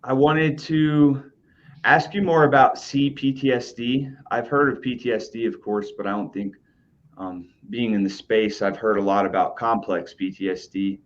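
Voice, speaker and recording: A man's voice: medium pitch, fairly even intonation, moderate pace. A man hosting a podcast, asking casual, friendly questions with some fillers. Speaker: podcast host. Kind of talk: interview questions. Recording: dull lo-fi sound.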